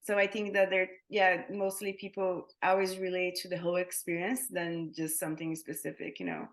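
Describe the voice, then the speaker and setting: A woman's voice: lowish voice, flat delivery, moderate pace. A woman who teaches yoga and Thai massage, talking casually as a guest in an interview, with many fillers and restarts.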